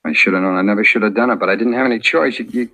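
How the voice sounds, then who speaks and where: An actor playing a cocky, evasive murder suspect in an old radio crime drama, talking in insolent, hesitant slang. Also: male voice, on the low side, racing, pushed and bright. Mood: desperate, pleading. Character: cocky, evasive male murder suspect who turns pleading under questioning.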